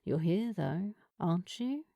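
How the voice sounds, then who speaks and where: A woman voicing a confident, kind middle-aged queer woman with a smooth, smoky, casual delivery in a narrated story. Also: on the low side, a little slow, no echo, dull audio, heavily acted. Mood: kind, encouraging. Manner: relaxed, casual, reassuring.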